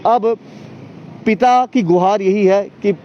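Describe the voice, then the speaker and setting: A man working as a news reporter, asking earnest leading questions outdoors and filling pauses with "uh". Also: high-pitched, a little hiss or hum. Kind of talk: field news report and interview. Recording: dull lo-fi outdoor news audio.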